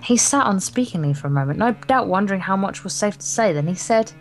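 A woman's voice: mid-range voice, expressive, fast, faint music behind. A woman reading visual novel text aloud as a let's play co-host, dramatic and jokey. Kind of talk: story read-aloud with playful banter.

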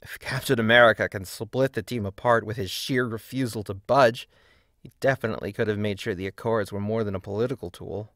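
A man's voice: fairly low pitch, steady tone, a little fast, fairly bright tone, no room sound, studio quality. A man delivering scripted video-essay narration with casual asides, in an opinionated, emphatic and wry manner.

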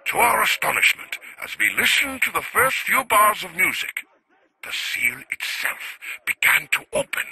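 Brooding scientist, sharp forceful voice. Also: male voice, high-pitched, big pitch swings, creaky, husky, heavily acted, poor audio. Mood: wondering. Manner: formal, deliberate, brooding. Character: obsessed male scientist recording grave research logs. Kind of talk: scripted audio-log monologue.

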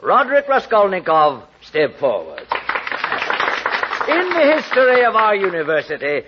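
A man's voice: high-pitched, huge pitch swings, moderate pace. Swooping, fatherly university dignitary. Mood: ceremonious. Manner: ceremonious, oratorical, affectionate. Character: proud, fatherly university head honoring a student. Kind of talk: 1940s radio acting. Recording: muffled, noisy vintage radio recording.

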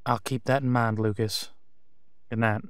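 A man's voice: medium pitch, little pitch movement, moderate pace, heavy background noise, lightly acted. A man playing a protective, caring werewolf pack leader in an audio drama, with a casual, reassuring manner. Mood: steady, diplomatic. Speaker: voice actor.

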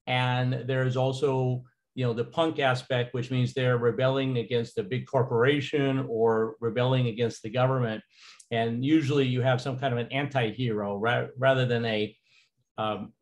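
Man, teacher voice over slides, relaxed and casual, many fillers. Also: mid-range voice. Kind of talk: recorded slideshow lecture.

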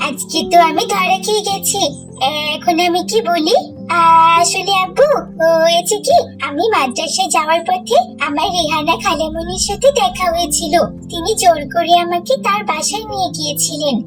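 A woman's voice: extremely high pitch, normal speed. A woman voicing a sly, eager young schoolgirl in a children's moral cartoon, with music behind her. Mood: defensive, fibbing.

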